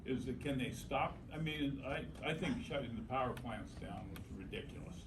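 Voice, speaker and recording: A man in the audience asking questions from the floor, halting and restarting as he goes. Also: mid-range voice, animated. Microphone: speaking without a microphone. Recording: distant voice in noisy room.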